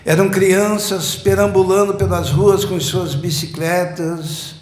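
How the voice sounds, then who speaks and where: A man, preaching a sermon slowly and emphatically, building up repeated phrases. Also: high voice, level tone. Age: 60s. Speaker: pastor. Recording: echoey church room.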